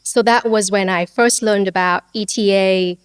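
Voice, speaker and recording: Woman from vietnam guesting on a podcast, smooth clear voice, dry close sound, talks it through calmly. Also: medium pitch, no echo. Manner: measured, detailed, light laughs. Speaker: podcast guest, business owner. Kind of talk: conversational interview storytelling.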